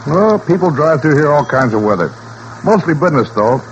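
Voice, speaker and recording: An actor playing a plainspoken, chatty station attendant in a 1940s radio play. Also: male voice, on the high side, big pitch swings, brisk. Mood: amused, chatty. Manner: plainspoken, colloquial. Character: folksy, chatty turnpike gas station attendant. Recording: muffled, noisy vintage radio recording.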